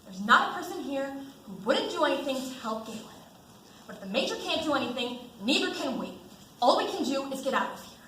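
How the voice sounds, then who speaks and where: A woman's voice: high-pitched, sing-song, brisk, sharp tone, full character voice. Young woman acting a dutiful army lieutenant, echoey. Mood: exasperated. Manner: formal, earnest military delivery. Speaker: student actor. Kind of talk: student stage drama. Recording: echoey stage with background noise.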